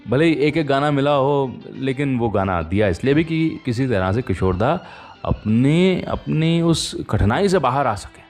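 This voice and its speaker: A man hosting a radio show, telling stories in a chatty, conversational way with pauses and rhetorical tags. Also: mid-range voice, lively intonation, darkish tone, faint music behind. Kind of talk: conversational radio storytelling about old film music.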